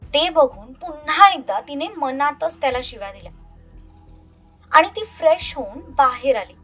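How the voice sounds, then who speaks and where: A woman's voice: sits high, lively intonation, a little slow, pushed and bright, soft background music. A woman narrating an audio love story in a dramatic scripted style.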